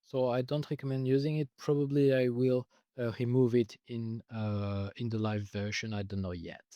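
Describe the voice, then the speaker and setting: A man with a delivery talks through software he built. He pauses often with filler sounds and restarts his sentences. His middle-pitched voice, a little worn, sits close and dry with no room sound. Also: fairly even intonation, no echo, muffled recording. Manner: hesitant, many filler uhs, restarts. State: losing his voice. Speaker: software developer explaining his tool. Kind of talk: casual screen-recorded walkthrough.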